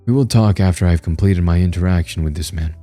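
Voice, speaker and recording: A cold, precise inhuman entity speaks with quiet contempt, put on by a male narrator. The voice sits very low and mellow. Faint music plays behind it. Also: fast, lightly acted. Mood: calm formal. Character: cold calm male parasite entity, formal and commanding.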